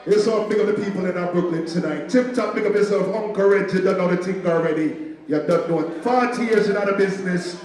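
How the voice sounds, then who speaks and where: A man acting as MC, giving hyped shout-outs to a crowd on a mic. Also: high-pitched, fast talker. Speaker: MC hyping the crowd. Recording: echoey hall, mic a bit far.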